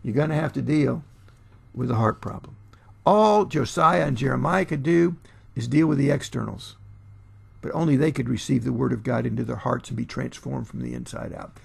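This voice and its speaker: Older man, pastor, sermon delivery, creaky husky voice, emphatic, deliberate pauses, scripture exposition. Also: medium pitch. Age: 60s or older. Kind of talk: sermon, expounding scripture to camera. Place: small furnished room at home, indoors.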